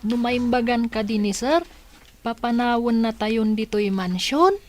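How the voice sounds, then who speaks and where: A naive, inquisitive young niece played by a woman in a radio drama. She speaks slowly in a highish voice, anxious and plaintively questioning. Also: a little mellow, soft background music, close to an everyday voice.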